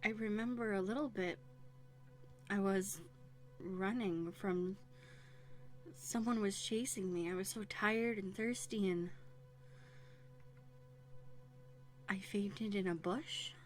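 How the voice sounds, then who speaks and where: A woman's voice: mid-range voice, steady tone, a little slow, soft background music, lightly acted. A woman playing a haughty, wary young runaway princess in a scripted audio roleplay, speaking in prim, formal phrases with dramatic hesitations. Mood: hesitant recalling. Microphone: close USB studio microphone.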